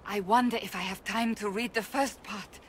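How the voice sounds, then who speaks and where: A woman's voice: medium pitch, fairly even intonation, normal speed, slight background noise, close to an everyday voice. A woman voicing a stern, proud female warrior in a video game, haughty and emphatic, easily flustered. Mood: awkward, pleased. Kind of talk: video game cutscene acting.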